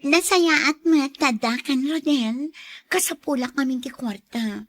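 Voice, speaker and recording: A woman's voice: high-pitched, a little slow. An actress playing an evasive young student girlfriend in an Ilocano radio drama. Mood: evasive, defensive. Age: young adult.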